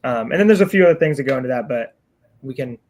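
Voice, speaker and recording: A man's voice: medium pitch, lively intonation, fast, darkish tone. A man in his twenties from Kansas, a podcast guest talking casually with lots of ums and likes. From Kansas, USA. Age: 20s. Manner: casual, with filler like "um" and "like". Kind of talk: casual chat.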